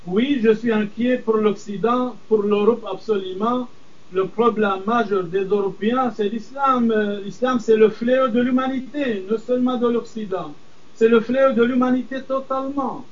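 An older man, a priest whose first language is Aramaic, answering interview questions in a firm, deliberate way. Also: extremely high pitch. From Middle East. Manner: firm, deliberate, measured emphasis. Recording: distant, dull lo-fi sound.

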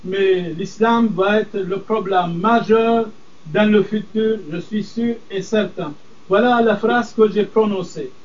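Older priest, Aramaic native, firm and measured; high voice, far from the mic. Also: male voice. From Middle East. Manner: firm, deliberate, measured emphasis. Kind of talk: interview answers. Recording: distant, dull lo-fi sound.

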